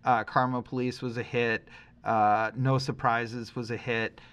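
A middle-aged man co-hosting a podcast, talking casually and reflectively, working through his thoughts haltingly with qualifications. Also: mid-range voice, flat delivery, a bit bright, clean tone. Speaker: podcast co-host.

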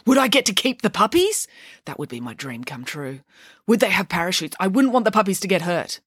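A woman voicing a scatterbrained, chatty mother who is playful and whimsical, talking in a raised, animated way. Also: medium pitch, fairly quick, breathy edge, no echo, clean studio sound, voice pushed up.

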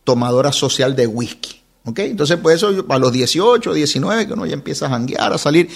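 A man in his forties from Ecuador, chatting as an interview guest, telling stories in an animated way and laughing often. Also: fairly high pitch, fairly quick, vocal fry, slightly rough. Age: 40s. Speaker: podcast guest, wine content creator. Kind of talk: casual interview storytelling.

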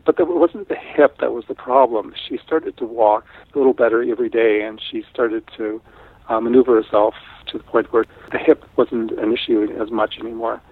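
A middle-aged man from Canada, Italian as his first language, talking in a thoughtful, unhurried way with frequent restarts in an interview. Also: mid-range voice, steady tone, breathy edge, dry recording. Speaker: guest artist. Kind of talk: casual interview talk. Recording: tinny phone line.

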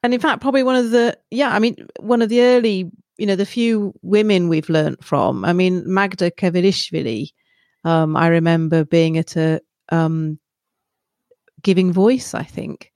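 A woman's voice: on the low side, moderate pace, a little mellow, dry recording. Reflective woman in a dry recording. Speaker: podcast host. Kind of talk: conversational interview.

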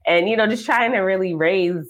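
A woman's voice: medium pitch, fairly quick. A woman in her thirties from the United States, chatting casually as a podcast guest and laughing often. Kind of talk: casual interview chat.

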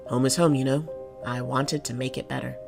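Gravelly, jaded female cop played by a woman. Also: a bass voice, fairly even intonation, brisk, music in the background. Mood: weary, reassuring. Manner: reassuring, plain-spoken. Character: jaded, kind-hearted, steady female city cop. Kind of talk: audio drama acting.

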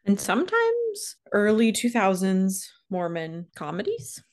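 A woman's voice: medium pitch, huge pitch swings, measured pace. An American woman co-hosting a podcast, chatting casually in an informal, emphatic way. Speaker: podcast co-host. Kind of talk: casual chat.

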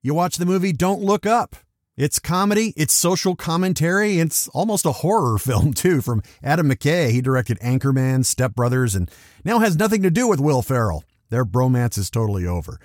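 Animated man hosting a podcast. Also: a little high, moderate pace, dry recording, clean studio sound. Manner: theatrical, playful, emphatic delivery with exclamations. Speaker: podcast host. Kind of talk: scripted solo podcast reviews and commentary.

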